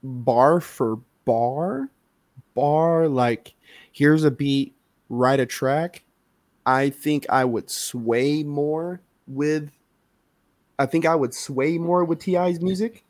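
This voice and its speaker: A man's voice: fairly high pitch, slow pace, a little mellow, no room sound. A man co-hosting a podcast, arguing his points emphatically and checking in with "you know what I'm saying?". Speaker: podcast co-host. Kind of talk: casual chat.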